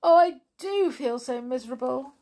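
A woman acts out a sensitive little female doll from a children's storybook. The voice is high and very swoopy, tearful and prone to stammer or turn cross when upset. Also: expressive, slow pace, vocal fry, exaggerated voice. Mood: cross, miserable.

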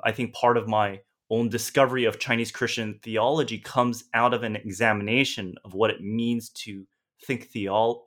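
A man from Southern California speaks as an academic guest on a podcast. He sits a little low in pitch and answers in a thoughtful, steady way, often pausing on an "uh" before going on. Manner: thoughtful, steady, with frequent fillers. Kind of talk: interview answer.

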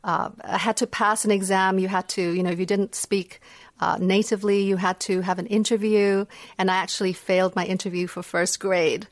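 A woman's voice: mid-range voice, fairly even intonation, brisk, no echo. A middle-aged woman from Indonesia and Hong Kong, native in Indonesian and Dutch, speaking English as a gracious, reflective podcast guest. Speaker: guest, music psychology researcher. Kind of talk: conversational podcast interview. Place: remote video call from home. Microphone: video call.

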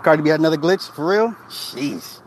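A man's voice: a little high, animated, fairly quick, a little hiss or hum. A man hosting a casual livestream who reads chat comments and tells stories in a loose, profane way, often laughing. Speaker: livestream host reading chat.

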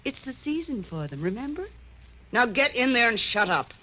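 A man's voice: very high-pitched, sing-song, moderate pace, heavy background noise. A man playing a coolly menacing, evasive armed stranger with clipped, mocking lines in a 1940s radio noir drama. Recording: muffled vintage radio recording.

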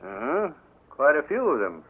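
An actor playing a stern, measured older father and businessman in an old radio drama. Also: mid-range voice, wildly swooping pitch, husky, phone-quality sound. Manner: measured, authoritative. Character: stern older male railroad man, a father. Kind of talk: 1940s radio acting. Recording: thin old radio broadcast.

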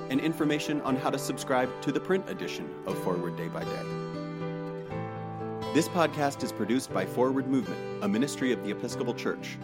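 A man reading scripted podcast announcements as the show's announcer. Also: medium pitch. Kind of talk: scripted podcast intro and outro. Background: loud plucked guitar music.

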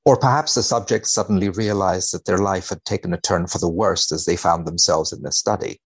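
Man, husky low voice, deadpan, measured, wry podcast guest. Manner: deadpan, measured, dry humour. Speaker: podcast guest, biomedical researcher. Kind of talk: wry conversation and dramatic reading of a research paper.

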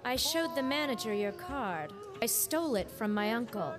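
A woman's voice: on the high side, big pitch swings, moderate pace. An actress playing an earnest, wounded young woman in a 1940s-style noir radio drama. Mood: earnest, hurt. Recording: music bed under radio drama.

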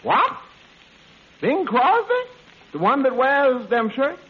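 An actor playing a droll, skeptical waiter with a dry, folksy, questioning manner in a 1940s radio comedy. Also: male voice, very high-pitched, very animated, slow pace, slightly rough, exaggerated voice. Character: droll, skeptical male waiter, unimpressed by his boss. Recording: muffled old radio, light hiss.

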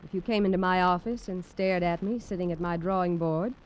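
A woman's voice: lowish voice, a little fast, close to an everyday voice. An actress playing a frank, unimpressed young career woman in an old-time radio crime drama, speaking curtly. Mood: annoyed. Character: cool, frank young woman dress designer. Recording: muffled, noisy vintage radio recording.

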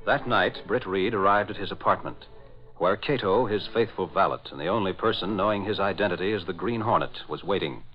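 A man's voice: low voice, fairly quick, soft background music. A man working as announcer and narrator, reading a script in formal 1940s radio style. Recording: dull old radio recording.

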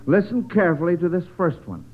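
A man's voice: high voice, big pitch swings, fast, breathy edge. A man hosting a 1940s radio quiz for children in an encouraging, folksy, slightly hesitant way. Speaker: quiz show host. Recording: muffled old radio broadcast.

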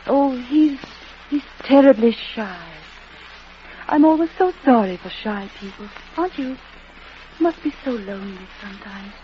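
A woman's voice: on the high side, expressive, a little slow. An actress playing a spirited, friendly young lady with a playful, genteel manner, in an old-time radio drama. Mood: wistful, charmed. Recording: dull old radio recording.

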